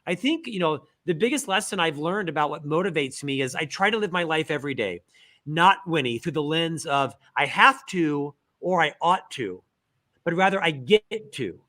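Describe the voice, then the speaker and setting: A middle-aged man from Central Florida, a guest author in a casual interview, telling lively stories with self-deprecating humor. He has a stutter. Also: on the high side, moderate pace. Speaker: guest, author and podcast host.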